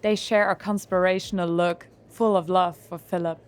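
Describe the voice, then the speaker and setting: A woman reading the narration of an audio drama in a calm, even way. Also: on the low side, normal speed.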